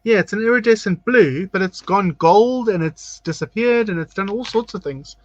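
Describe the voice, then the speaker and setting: Chatty camp drag host, lo-fi sound. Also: male voice, high-pitched, moderate pace, no echo. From South Africa. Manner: camp, chatty, reads out viewer comments. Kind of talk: casual livestream chat. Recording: dull lo-fi sound, dry.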